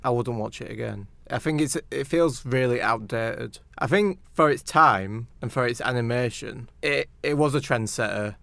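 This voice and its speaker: A man's voice: mid-range voice, gravelly, fairly bright tone, no echo. A man on a podcast panel, giving his opinions in casual film discussion.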